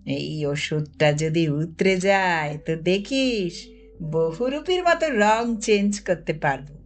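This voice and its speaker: A woman's voice: fairly low pitch, animated, slow pace, faint music behind, pitched below the performer's own voice. A woman voicing a knowing, teasing older lad, bossy and mysterious, in a storybook reading. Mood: boastful.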